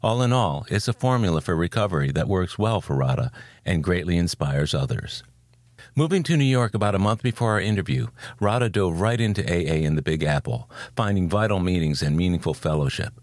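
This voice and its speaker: A man with a low voice hosts an interview podcast. His delivery moves between scripted narration and conversational questions. The sound is dry and close, with very little room on it. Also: expressive, fairly quick, darkish tone, no room sound.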